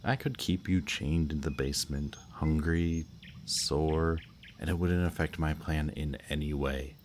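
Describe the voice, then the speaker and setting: A man playing a smug, flirtatious villain kidnapper in an intimate roleplay audio drama, talking in a teasing, softly menacing way. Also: very deep voice, fairly even intonation, unhurried, slight background noise. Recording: outdoor night bird and insect ambience. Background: birdsong and crickets at night.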